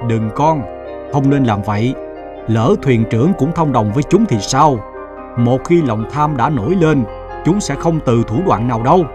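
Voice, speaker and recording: A man voicing a grave, protective middle-aged merchant father in an animated fairy tale. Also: medium pitch, normal speed, music in the background, close to an everyday voice. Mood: grave, worried.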